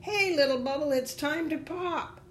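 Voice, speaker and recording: A teasing storybook creature like a crow, played by a woman. It chants very high in a sing-song taunt, jumping around in pitch over faint noise. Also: normal speed, fairly bright tone. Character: teasing chorus of leaves and a cawing crow.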